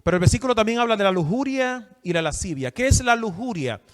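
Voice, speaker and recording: A man's voice: high voice, animated, fast. A man from the Republic, preaching a sermon and putting questions to his listeners. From Dominican Republic. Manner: asks the audience questions, emphatic. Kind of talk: sermon teaching. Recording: long hall reverb.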